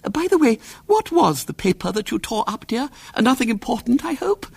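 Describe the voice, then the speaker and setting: A man voicing a prim, anxious elderly aunt in a breathless, fluttery falsetto. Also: very animated, fairly quick, creaky, darkish tone, slightly rough, dry recording, full character voice. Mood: fretful.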